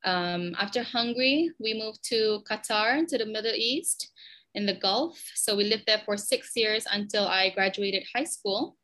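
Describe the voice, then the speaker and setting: A Malaysian woman in her twenties, a podcast guest, chatting in a lively way with frequent ums and restarts. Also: medium pitch, unhurried. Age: 20s. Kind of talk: conversational interview answers.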